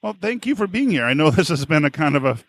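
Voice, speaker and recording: A man's voice: fairly high pitch, very animated, fast, dry recording. A man hosting a podcast in a casual, informal way, often rambling and rephrasing himself. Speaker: podcast host. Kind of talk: casual podcast introduction and interviewing.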